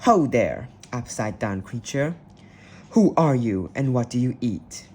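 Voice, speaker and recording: A man doing a loud boastful frog. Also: mid-range voice, big pitch swings, slow pace, vocal fry, slightly rough, full character voice. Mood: boastful shouting. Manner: shouting, brash. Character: loud boastful big-mouthed male frog.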